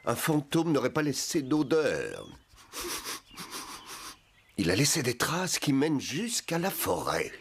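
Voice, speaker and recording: A man's voice: mid-range voice, huge pitch swings, slow, long pauses, slight background noise, heavily acted. A man voicing a confident, reassuring tracker animal in a children's cartoon. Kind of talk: French dubbed children's cartoon acting.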